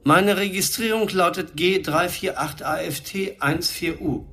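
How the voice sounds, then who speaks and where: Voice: mid-range voice, measured pace, fairly bright tone, breathy edge. A performer playing a condescending, pedantic drone robot in a German science fiction radio drama, with a drily mocking manner. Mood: haughty, mocking. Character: haughty, sarcastic talking drone robot guide, lecturing.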